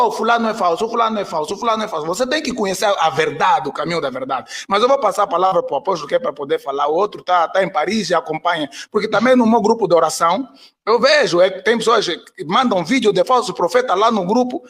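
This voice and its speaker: A man's voice: high voice, quick pace, a bit bright, slightly rough. A man with an Angolan Portuguese accent, a pastor co-hosting a live Q&A, talking earnestly with many restarts. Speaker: pastor, co-host. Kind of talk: live Q&A chat. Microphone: video call.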